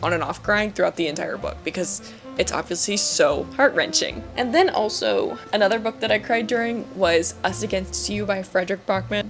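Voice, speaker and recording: A woman chatting casually to camera as a book reviewer, with an emphatic, gushing, giggly manner. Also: mid-range voice. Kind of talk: casual chat to camera about books.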